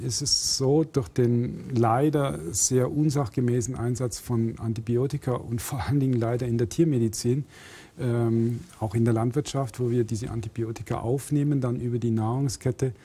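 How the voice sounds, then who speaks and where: Husky middle-aged man explaining medicine. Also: medium pitch, dark tone. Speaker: hand surgeon, expert guest. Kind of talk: studio interview, explaining medicine conversationally. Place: TV studio. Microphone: clip-on lapel mic.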